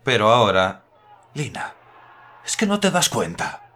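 A man's voice: medium pitch, sing-song, a little slow, pushed and bright, some chatter behind. An actor in a radio drama playing an idealistic young republican man, speaking earnestly and with passion. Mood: hopeful. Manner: earnest, impassioned.

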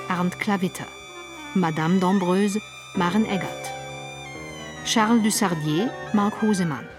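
A woman reading scripted radio play credits as a broadcast announcer. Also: fairly low pitch. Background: music.

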